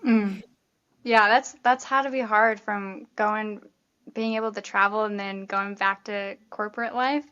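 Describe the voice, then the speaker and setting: A woman's voice: medium pitch, fairly even intonation, a little slow, fairly bright tone, muffled recording. A woman hosting a podcast interview, upbeat and chatty with lots of fillers. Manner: upbeat, chatty, says "like" often. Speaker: podcast host. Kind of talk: casual interview chat.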